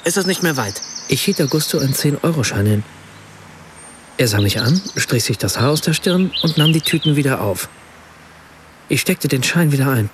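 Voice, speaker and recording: An actor playing a curious, sceptical writer, narrating a radio play in a measured, reflective, literary way. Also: male voice, medium pitch, expressive, noisy background, lightly acted.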